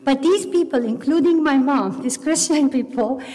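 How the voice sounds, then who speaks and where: A middle-aged woman with a Persian accent, whose first language is Farsi, earnestly telling her personal faith story with halting restarts. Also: high voice, dark tone. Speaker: testimony speaker. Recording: long hall reverb.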